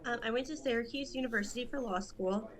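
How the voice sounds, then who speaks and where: A woman in her thirties from Rochester, New York, a judicial candidate talking earnestly and in detail in an interview. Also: fairly high pitch. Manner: earnest, detailed, quick in stretches. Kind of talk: casual interview talk.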